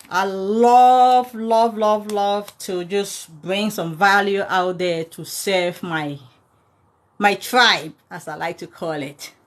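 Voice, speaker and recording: A woman from Cameroon in her thirties, chatting casually to viewers about money and thanking them by name as she goes. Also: mid-range voice. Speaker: personal finance content creator. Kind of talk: casual livestream talk to viewers.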